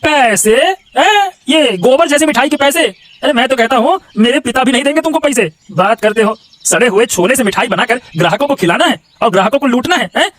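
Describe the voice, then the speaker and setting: A man's voice: extremely high pitch, animated, a little fast, hardly any pauses, fairly bright tone. A man voicing a scheming, indignant royal minister in a cartoon, scolding and repeating himself with petty bluster. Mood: disgusted, scolding. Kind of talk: exaggerated Hindi cartoon voice acting.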